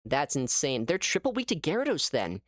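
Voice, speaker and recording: A man giving casual live gameplay commentary as a streamer, thinking aloud in an animated way. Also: a little high, a little fast, no echo, clean studio sound. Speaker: gaming streamer.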